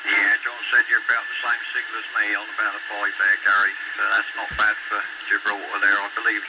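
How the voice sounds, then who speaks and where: A man's voice: lowish voice, little pitch movement, fast. A man making casual radio contact as an amateur operator, talking in radio jargon. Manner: radio jargon, spelling out with phonetic alphabet. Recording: dull lo-fi radio recording, loud static.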